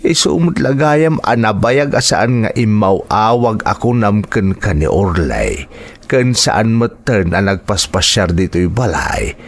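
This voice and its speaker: A man's voice: lowish voice, expressive, unhurried, no echo. A man playing a loving, hesitant older father with an Ilocano accent in a family audio drama. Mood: reluctant, grave. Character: older father, loving but hesitant bearer of bad news.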